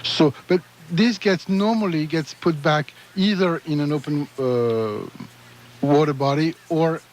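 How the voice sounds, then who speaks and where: A man's voice: fairly high pitch, unhurried, a little hiss or hum, poor audio. A man from France with a French accent, a guest architect on a radio interview show, explaining with frequent restarts. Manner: hesitant, many restarts.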